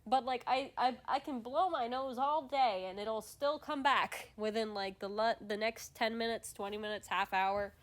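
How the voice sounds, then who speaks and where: A woman's voice: fairly high pitch, normal speed, hardly any pauses. A woman hosting a casual livestream chat, sniffly and stuffed up, rambling with lots of restarts and fillers. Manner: rambling, many restarts and fillers, laughs often. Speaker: streamer host.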